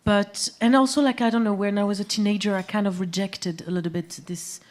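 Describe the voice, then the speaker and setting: A woman, a musician guest talking about her music in a live on-stage interview, pausing often to search for words. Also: mid-range voice, steady tone, darkish tone. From Algeria, raised in France. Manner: hesitant, searching for words, many fillers. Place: small music venue stage.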